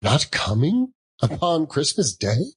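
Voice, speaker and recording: A man playing a gentle, loving, poor father in a storybook reading. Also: on the high side, wildly swooping pitch, takes it slowly, slightly rough, voice pushed up, exaggerated voice. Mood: eager, then dismayed.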